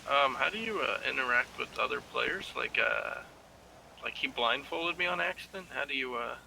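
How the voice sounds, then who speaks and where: A man's voice: medium pitch, moderate pace, creaky, pushed and bright, a little hiss or hum, rough recording. A man chatting casually and appreciatively as a newcomer asking questions in an online game. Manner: friendly, appreciative.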